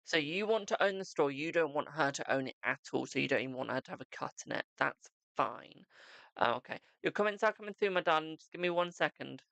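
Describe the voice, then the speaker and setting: A man's voice: a little high, expressive, brisk, gravelly, pushed and bright, dry recording. A man giving a live-stream psychic tarot reading, chatting to viewers and calling them "my darling".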